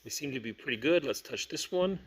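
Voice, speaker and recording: Male product-review host, mid-pitched, conversational, thinks aloud while demonstrating. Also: expressive, fairly quick, slightly rough. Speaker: host of a tabletop gaming product review channel. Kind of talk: casual hands-on product review.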